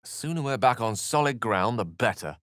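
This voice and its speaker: An actor voicing a learned, courteous wizard in a video game, with eloquent, courtly phrasing and wry asides. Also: male voice, mid-range voice, lively intonation, a little fast, fairly bright tone, no echo, clean studio sound, lightly acted. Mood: brisk, encouraging. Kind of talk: video game character voice lines.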